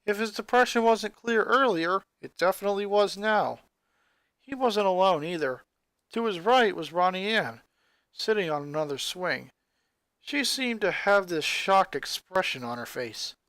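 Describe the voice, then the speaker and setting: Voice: medium pitch, animated, measured pace, fairly bright tone, no room sound. A narrator reads a scripted story in a mid-range voice. The sound is very dry, with next to no room around it. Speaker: story reader. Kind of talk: scripted narration of fan fiction.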